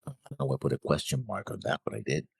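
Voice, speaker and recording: A man's voice: very deep voice, very animated, rapid-fire, gravelly, breathy edge, no echo, studio quality. A man co-hosting an anime reaction show, casually going over his notes on an episode.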